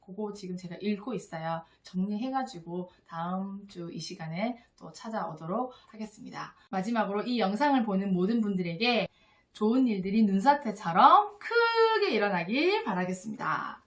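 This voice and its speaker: A woman's voice: mid-range voice, expressive, moderate pace. A woman giving a casual, earnest and encouraging spoken book review to viewers as a vlogger. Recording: echoey room.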